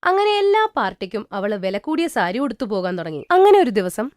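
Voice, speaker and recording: Lively woman storyteller. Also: on the high side, brisk, no room sound, studio quality. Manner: lively, expressive. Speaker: narrator. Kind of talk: storybook narration for a cartoon.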